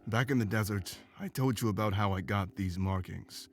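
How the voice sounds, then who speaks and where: Ancient male beast companion, played by a man, low voice, weary and remorseful, earnest game drama, music underneath. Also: moderate pace, lightly acted. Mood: somber confession. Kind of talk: visual novel game voice acting.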